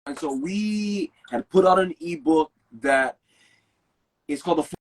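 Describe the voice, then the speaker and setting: A man's voice: a little high, measured pace, low-quality recording. A young man from Hawaii with a Hawaiian Pidgin accent, speaking earnestly in a casual chat. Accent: Hawaiian Pidgin English. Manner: earnest, animated speech in Pidgin phrasing. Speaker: guest.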